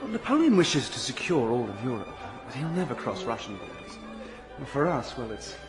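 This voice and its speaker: An actor plays an ambitious young soldier in a British period radio play. He speaks politely and eagerly to please, his intonation animated, with music underneath. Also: mid-range voice, a little fast, natural voice. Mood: courteous, flattering. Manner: courteous, ingratiating. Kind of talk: radio drama acting.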